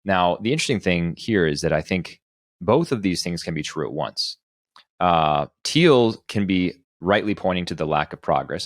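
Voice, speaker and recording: A man with a low, deep voice co-hosts a discussion podcast. He talks in an articulate, analytical way, and the sound is dry with very little room. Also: no echo. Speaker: podcast co-host.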